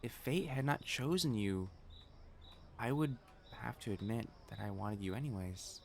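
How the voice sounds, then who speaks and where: A man's voice: medium pitch, measured pace, vocal fry, a little hiss or hum. A man playing a prim young matchmaker fiancé in a romantic audio roleplay, formal and earnest in speech, easily flustered. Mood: tender, confessional. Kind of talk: intimate scripted romance roleplay acting.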